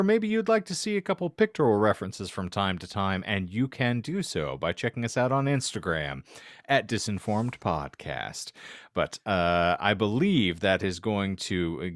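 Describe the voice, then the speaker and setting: A man's voice: fairly low pitch, no echo, clean studio sound. A man chatting casually as a podcast co-host, with a wordy, florid way of phrasing things. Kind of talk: casual chat.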